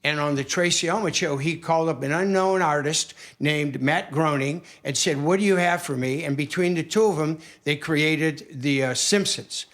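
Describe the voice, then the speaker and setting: A man's voice: fairly high pitch, moderate pace, hardly any pauses, a bit bright. A man hosting a casual online concert stream, chatting and introducing the next guest. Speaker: show host. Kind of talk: casual livestream chat.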